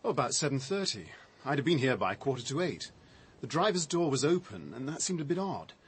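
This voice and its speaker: An actor playing a shaken, defensive man with a halting, reflective, dry delivery in a radio drama. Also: mid-range voice, big pitch swings, slightly rough. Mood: uneasy recounting. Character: shaken man recounting his discovery, defensive, sardonic.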